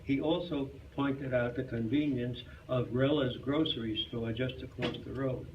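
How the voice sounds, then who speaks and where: A man's voice: medium pitch, steady tone, normal speed, lo-fi sound. A man giving a staged reading of a humorous memoir in a club hall. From Brooklyn, New York. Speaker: reader at a community readers theater. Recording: distant mic, noisy hall sound.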